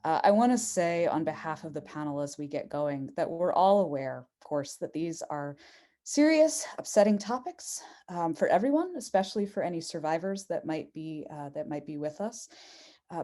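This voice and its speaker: A woman with a mellow, lowish voice opens an online panel discussion, speaking earnestly with plenty of fillers. She sounds like an organizer setting the scene for the talk ahead. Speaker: event organizer, host. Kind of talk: opening remarks for an online panel. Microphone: video call.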